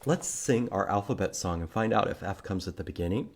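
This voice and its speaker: A man hosting a children's storytime, reading a picture book and teaching letters in simple, expressive language with questions for young listeners. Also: deep voice, brisk. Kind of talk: children's storytime read-aloud and letter lesson.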